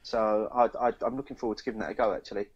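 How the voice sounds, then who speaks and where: A man's voice: on the low side, fairly even intonation, racing, highs cut off, background noise. A British man co-hosting a podcast, talking in a casual, chatty style about what is in front of him. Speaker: podcast co-host reading news. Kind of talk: casual beer podcast chat.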